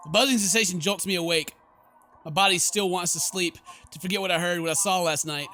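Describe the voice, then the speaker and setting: Bright, high-voiced male gamer, theatrical. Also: fairly even intonation, a little fast. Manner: theatrical, exclamatory when reacting. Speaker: gaming video host. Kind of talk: horror game let's play, reading the story aloud with reactions.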